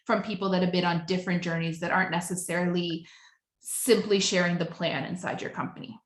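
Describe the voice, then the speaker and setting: A woman's voice: fairly low pitch, steady tone, fairly quick. A woman hosting a casual interview, chatting in an enthusiastic, effusive way. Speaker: podcast host, business coach. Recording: roomy, echoing voice pickup.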